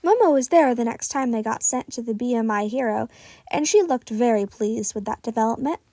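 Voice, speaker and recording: Female podfic reader, high-pitched voice, dry close sound, casual narration of fan fiction. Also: a little fast, warm tone, dry recording.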